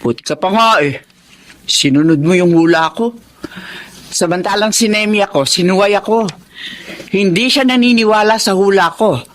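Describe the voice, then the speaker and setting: A woman's voice: medium pitch, moderate pace, long pauses, lightly acted. An actress playing a stubborn, hot-tempered middle-aged Filipino mother who reads cards, in emphatic radio drama style. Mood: chatty, smug. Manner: scolding, emphatic.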